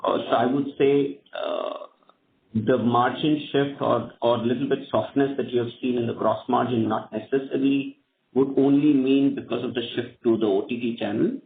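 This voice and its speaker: A man with an Indian accent speaking as a company executive, answering questions on an earnings call in measured, hesitant phrasing. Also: mid-range voice, steady tone, gravelly. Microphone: conference call line. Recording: tinny phone line, echoey room, faint noise.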